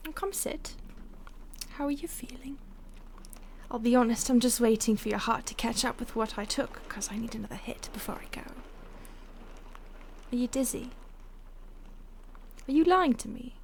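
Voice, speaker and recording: Seductive vampire stalker played by a woman, higher register, breathy and whispery, condescending playful menace, intimate audio drama, faint noise behind. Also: moderate pace, pauses often, a little mellow. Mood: playful disdain. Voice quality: breathy, often dropping to a whisper. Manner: teasing, haughty, theatrical. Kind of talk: intimate audio roleplay addressed to the listener.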